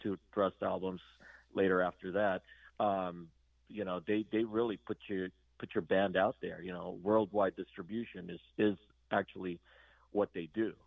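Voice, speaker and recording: A man with a low, gravelly voice answers interview questions, stopping and restarting often with many fillers. The sound is dry and a little dull and lo-fi, like a remote guest line. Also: level tone, dry recording. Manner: halting, full of fillers and restarts. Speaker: band guitarist guest. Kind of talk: casual interview chat.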